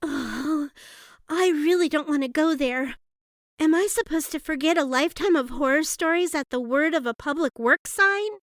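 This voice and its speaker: High-pitched, plucky young princess played by a woman. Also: normal speed, no room sound, clean studio sound, close to an everyday voice. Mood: daunted. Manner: puckish, theatrical, proper. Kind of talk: audiobook character acting.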